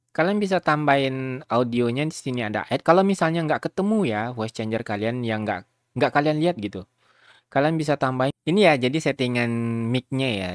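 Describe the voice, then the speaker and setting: A man's voice: medium pitch, no echo. Casual man, dry close sound. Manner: informal, rambling, chatty, addressing viewers. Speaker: video tutorial host. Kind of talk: casual software tutorial.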